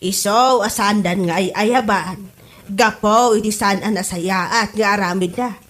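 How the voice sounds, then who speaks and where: A woman's voice: medium pitch, measured pace, fairly bright tone, natural voice. A woman playing a gossipy, disapproving older neighbour in a comedy audio drama, with an Ilocano Filipino accent.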